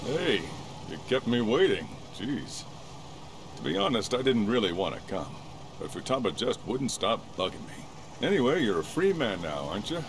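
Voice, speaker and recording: Gruff middle-aged guardian, engine idling. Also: male voice, medium pitch, sing-song, moderate pace, noisy background. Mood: gruff, grudging. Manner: gruff, grumbling, offhand. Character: gruff middle-aged male guardian, grumbling but caring. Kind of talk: animated game cutscene dialogue. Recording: car engine idling behind the voice.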